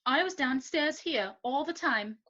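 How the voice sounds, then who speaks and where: A woman's voice: sits high, animated, a little slow, bright, forceful tone, dull audio, full character voice. A woman playing a composed, pointed secretary in an amateur murder mystery. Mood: guarded. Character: cool, assertive female secretary in a murder mystery.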